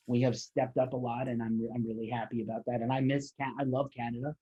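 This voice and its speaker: A man interviews a guest over a somewhat dull, lo-fi call line, his voice a little husky. He rambles eagerly, sounding like a devoted fan. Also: mid-range voice, level tone, quick pace. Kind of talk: casual interview chat.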